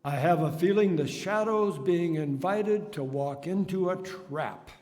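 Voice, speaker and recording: A man playing a calm, shrewd gentleman crime fighter in 1930s radio style, measured and deliberate. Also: a little high, natural voice. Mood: grave, intent. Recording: echoey hall, long reverb.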